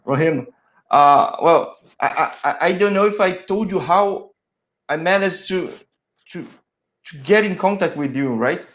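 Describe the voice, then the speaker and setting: A man's voice: on the high side, a little slow, a bit bright, echoey room. A Brazilian man whose first language is Portuguese, hosting an online interview in a hesitant, word-repeating way. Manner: hesitant, repeats words while searching for phrasing. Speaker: interview host.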